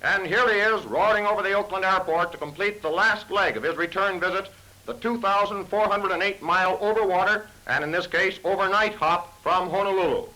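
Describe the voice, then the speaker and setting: A man's voice: high voice, a little fast, pushed and bright, poor audio. A man narrating a vintage 1930s newsreel from a script in a grand, declamatory way, on an old film soundtrack.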